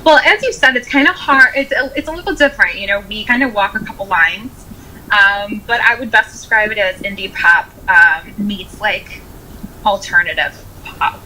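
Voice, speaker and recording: A woman's voice: mid-range voice, moderate pace, bright, forceful tone, slight background noise, poor audio. A woman in her twenties with an American accent, chatting casually as a musician being interviewed, often saying "like" as she talks. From Rochester, United States. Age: 20s.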